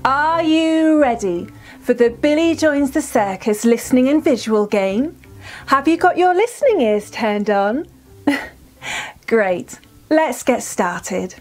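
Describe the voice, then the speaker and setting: High, animated female children's storyteller. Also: slow pace, soft background music. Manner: enunciated, encouraging, asks listeners questions. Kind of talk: scripted children's storytelling and teaching.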